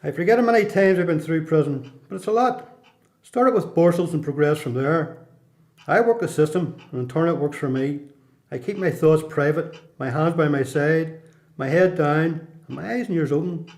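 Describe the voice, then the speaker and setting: A guarded male prisoner who knows the system , played by a man in a radio play. His Belfast voice sits a little high for a man, and he confides in plain, measured speech. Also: darkish tone, lightly acted. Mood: wry, guarded. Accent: Belfast Northern Irish.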